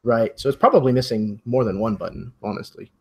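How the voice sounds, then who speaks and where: A man with a medium-pitched, husky voice runs a tabletop mystery game. He narrates conversationally as game master, describing scenes and laying out choices for the players. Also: brisk, darkish tone.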